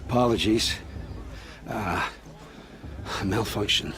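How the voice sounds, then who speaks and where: Uneasy, slow-talking evasive scavenger. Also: male voice, on the low side, fairly bright tone, a little hiss or hum. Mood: flustered, evasive. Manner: halting, flustered. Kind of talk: dialogue in a fantasy game.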